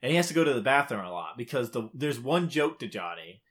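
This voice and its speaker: A man's voice: mid-range voice, big pitch swings, fast, fairly bright tone. A man co-hosting a podcast, casually recapping a game's story in emphatic, short clipped phrases. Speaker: podcast co-host. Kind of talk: casual video game plot recap.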